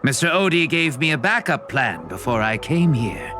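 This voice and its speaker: A man playing a resigned, dryly gracious film director in a video game, with a measured and dramatic delivery. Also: mid-range voice, sing-song, over music, lightly acted. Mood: theatrical, gracious. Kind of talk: dubbed anime game dialogue.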